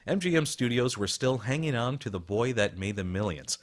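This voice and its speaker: A man's voice: mid-range voice, lively intonation, moderate pace. A man hosting a radio show with polished, scripted documentary-style narration.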